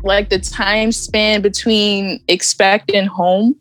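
Soft-spoken woman singer being interviewed. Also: mid-range voice, little pitch movement, slow, no echo, soft background music. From Maryland. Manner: soft-spoken, laughs often, short answers. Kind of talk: casual interview.

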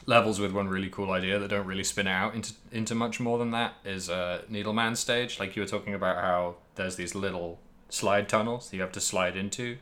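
A man's voice: fairly low pitch, fairly even intonation, a little fast, hardly any pauses, fairly bright tone. A man hosting a podcast, casually discussing video games in a rambling way, hedging and thinking aloud as he goes. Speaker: podcast host.

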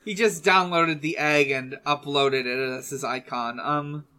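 Slow-talking podcast co-host, bright voice. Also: mid-range voice. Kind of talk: casual plot recap with friends.

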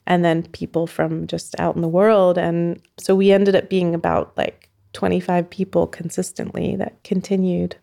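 Low, gravelly-voiced woman musing aloud. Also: flat delivery, moderate pace, hardly any pauses, dark tone. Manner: thoughtful, loosely phrased with frequent "like". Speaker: sound artist and researcher. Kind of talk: reflective interview talk.

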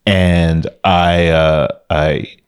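A man from Miami, Florida, a company founder talking as a guest in a relaxed interview, telling his story with many restarts and fillers. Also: deep voice, very slow, clear, resonant tone. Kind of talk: casual interview talk.

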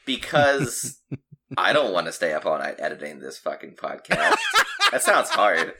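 A man speaking from a distance from the mic, slow and dry, with a deadpan delivery despite wide swings in pitch. Loud background noise sits under his voice. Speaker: podcast editor.

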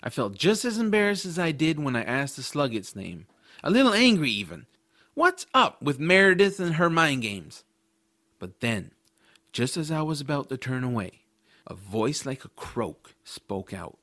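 Guy playing curious adventurous boy narrating his own story, earnest n dramatic audiobook style, mid pitch, dry booth sound. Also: big pitch swings, normal speed, sharp tone, no echo. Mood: suspenseful. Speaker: narrator and lead character. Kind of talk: expressive first-person audiobook narration.

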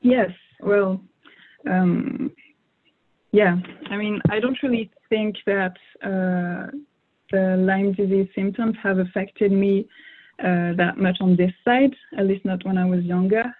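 A woman's voice: mid-range voice, steady tone, slow. A young woman whose first language is French, answering interview questions thoughtfully with frequent fillers and restarts. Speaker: interview guest. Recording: phone-like narrow sound.

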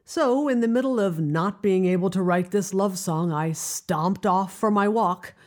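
A middle-aged woman telling a scripted personal story in a confiding, wry manner with deliberate pauses. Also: medium pitch, a little mellow. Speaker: writer and narrator of a personal audio drama.

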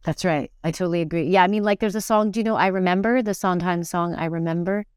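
A woman's voice: lowish voice, quick pace, clear, resonant tone, no echo, studio quality. A middle-aged woman, talking casually and reflectively as an interview guest, thinking aloud as she goes. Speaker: interview guest, musical theatre performer and teacher.

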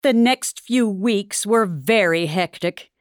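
A woman narrating a futuristic fairy tale in an audio drama, with a measured storybook delivery. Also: mid-range voice, no room sound, clean studio sound, faint music behind, natural voice. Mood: storytelling.

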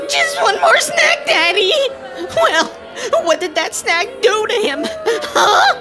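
A woman's voice: very high voice, very animated, takes it slowly, over loud music, a loud crowd, full character voice. A woman voicing a desperate, manic alien father ranting as a stand-up comic in a comedic audio story. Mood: manic, pleading. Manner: ranting, increasingly frantic and unhinged. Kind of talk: comedic audio drama acting.

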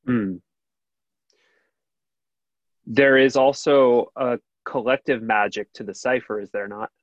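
A man's voice: steady tone, moderate pace, dry recording, lo-fi sound. Nasal-voiced man in rambling chat. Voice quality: high nasal voice. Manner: rambling, many fillers and restarts, laughs easily. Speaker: radio host. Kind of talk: casual interview chat.

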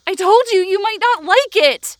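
A woman's voice: extremely high pitch, animated, moderate pace, no echo, clean studio sound. A young actress playing a bitter, foul-mouthed working-class woman from the mountains in an audio drama, confrontational and sarcastic. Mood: furious. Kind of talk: heated audio drama dialogue.

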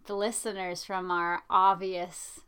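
A young woman co-hosting a podcast, chatting in a dry, teasing, deadpan way. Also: lowish voice, slow pace, clean tone. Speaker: podcast co-host. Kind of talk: casual chat.

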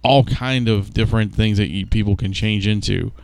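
A man's voice: fairly low pitch, fairly even intonation, moderate pace, creaky, no echo. A man co-hosting a podcast, chatting casually and bantering. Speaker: podcast co-host.

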